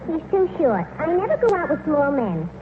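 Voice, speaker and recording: High-pitched brassy New York gal. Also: female voice, big pitch swings, moderate pace. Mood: disdainful, picky. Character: picky, man-hungry, brassy young tavern woman. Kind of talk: 1940s radio comedy acting. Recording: noisy tinny 1940s radio broadcast.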